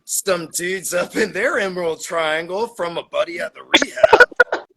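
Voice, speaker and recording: A man's voice: high-pitched, a little slow, creaky, very noisy. A man in his forties from Florida, telling his story as a podcast guest in a laid-back, swearing, laughing way. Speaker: podcast guest, cannabis grower. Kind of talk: casual podcast chat.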